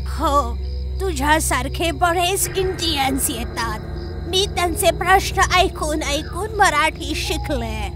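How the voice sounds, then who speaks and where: A woman's voice: high-pitched, lively intonation, music in the background. A woman voicing a sly, menacing old hag witch in a horror story, taunting and hungry. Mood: sly, luring. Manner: taunting, slow, drawn-out delivery.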